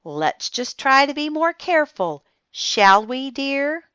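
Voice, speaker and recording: A woman's voice: sits high, sing-song, slow, fairly bright tone, no room sound, muffled recording, pitched above the performer's own voice, full character voice. A woman voicing an older grandmother who is prim and proper and clipped in her politeness. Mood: tight-lipped.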